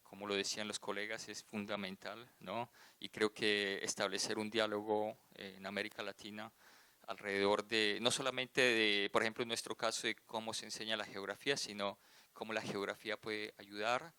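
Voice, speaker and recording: A man's voice: fairly low pitch, flat delivery, moderate pace, pushed and bright, smooth, no room sound. A man giving earnest conference remarks as an academic, with hesitant fillers. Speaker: academic speaker.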